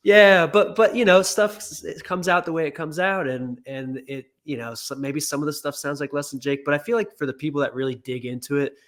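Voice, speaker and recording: A man with a high tenor voice, a label owner and singer, talking in a casual interview, rambling with lots of "like" and restarts. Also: brisk, few pauses, fairly bright tone. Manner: rambling, full of "like" and restarts, laughs easily.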